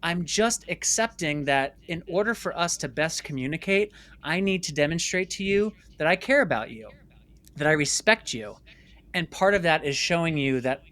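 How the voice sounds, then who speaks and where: A man with a bright, forward voice that sits a little high. He talks as an interview guest, telling his story conversationally. His delivery is emphatic, with phrases repeated to drive points home. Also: animated, moderate pace. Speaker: interview guest, filmmaker and former stage actor.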